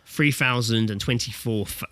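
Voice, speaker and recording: A man in his thirties with a bright, forward voice and a very dry sound. He talks like a podcast co-host trading blokey, sweary banter, jokey and casual throughout. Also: medium pitch, normal speed, no echo. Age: 30s. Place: remote internet call.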